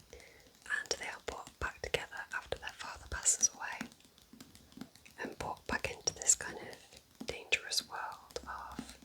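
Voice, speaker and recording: Female ASMR creator, full whisper, breathy and soft, gentle unhurried delivery, casual and intimate recommendations. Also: rough recording.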